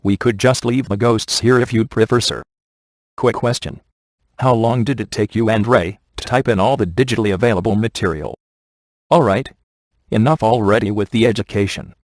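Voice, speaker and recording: A man's voice: lowish voice, moderate pace, dry recording, natural voice. A man playing a wisecracking, laid-back ghost hunter in a video game, with a dry, sardonic and glib delivery. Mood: dry sarcasm. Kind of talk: scripted video game character dialogue.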